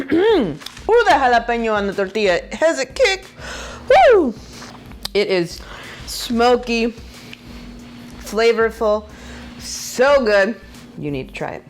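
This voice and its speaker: A woman's voice: a little high, very animated, slow, pauses often, soft background music. A woman in her thirties whose first language is Spanish, chatting playfully to viewers as she cooks in her home kitchen. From Puerto Rican and Mexican heritage. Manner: chatty, playful, laughs often, talks to viewers. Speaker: cooking vlogger. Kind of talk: casual cooking demo to camera.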